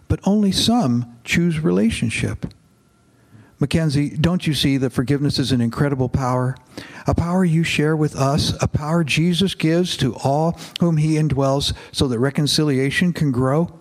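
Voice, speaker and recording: A man reading aloud in the voice of God as a fatherly figure, calm, gentle and patient. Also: fairly high pitch.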